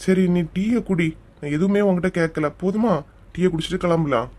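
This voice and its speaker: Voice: mid-range voice, a little fast, darkish tone, natural voice. An actor plays a young cartoon husband from a village, easygoing and mildly exasperated. The man speaks with a Kanyakumari Tamil accent. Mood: reassuring. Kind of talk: comic cartoon voice acting.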